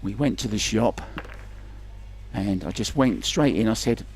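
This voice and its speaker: A man's voice: lowish voice, unhurried, darkish tone, a little hiss or hum. A man doing chatty, self-deprecating live pool commentary in a conversational way. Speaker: sports commentator. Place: remote commentary from his own location.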